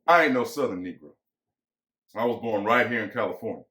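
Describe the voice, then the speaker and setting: A man's voice: lowish voice, fairly quick, sharp tone. A man acting as bitter, lonely American ranch hand, echoey. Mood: bitter, reflective. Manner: folksy rural vernacular, musing and pressing. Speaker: actor. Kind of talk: dramatic reading of a novel. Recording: echoey room.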